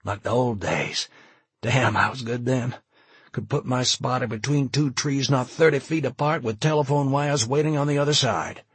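A traumatized veteran pilot, played by a male narrator tells of horrors in an audiobook. He is agitated and anguished, with a very husky, rough-edged voice in a dry, close recording. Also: medium pitch, normal speed, dry recording, pitched above the performer's own voice, lightly acted. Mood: wistful pride. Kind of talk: dramatized audiobook dialogue.

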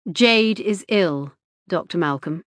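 A woman's voice: fairly low pitch, lively intonation, slow, no echo, clean studio sound. A woman reading the lines of a composed, precise middle-aged male hospital consultant in an audiobook, with a clipped, formal manner.

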